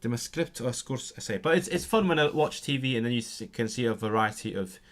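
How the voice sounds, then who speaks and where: A man's voice: medium pitch, animated, fairly quick. Chatty man practicing words on stream. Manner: chatty and self-interrupting, reads out and repeats practice words aloud. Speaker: language learning streamer. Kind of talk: casual live-stream chat while studying.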